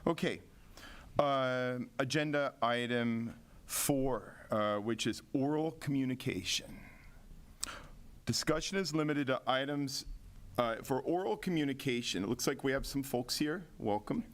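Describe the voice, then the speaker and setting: A man's voice: mid-range voice, a little slow. A man chairing a city commission meeting, reading agenda items aloud with frequent filler words.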